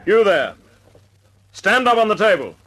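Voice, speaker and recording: An actor playing a stern young wing commander in a radio drama, clipped and commanding. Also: male voice, high-pitched, very animated, a little slow, fairly bright tone, exaggerated voice. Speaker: squadron leader addressing aircrew.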